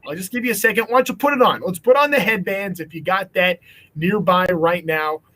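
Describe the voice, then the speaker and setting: A man's voice: high voice, fairly quick, bright, forceful tone. A man with an American accent hosting a children's online event in an upbeat, chatty style. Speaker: event host.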